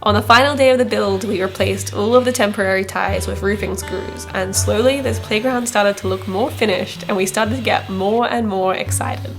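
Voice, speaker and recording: A woman's voice: mid-range voice, vocal fry. Woman vlog narrator, scripted voiceover, kinda reflective n earnest, music playing under her. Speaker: vlogger, narrator.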